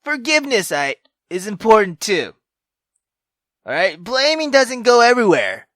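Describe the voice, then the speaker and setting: A young man playing a visual novel on camera, reacting loudly and excitably with lots of swearing. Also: sits high, moderate pace, no echo, studio quality. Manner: loud, excitable, heavy swearing. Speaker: gaming YouTuber. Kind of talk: let's-play commentary.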